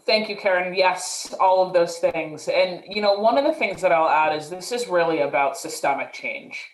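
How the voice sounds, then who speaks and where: A woman's voice: on the low side, normal speed, reverberant, rough recording. A woman speaking thoughtfully as a panelist in a webinar discussion, often hedging with "sort of" and "like.". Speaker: panelist, mental health professional.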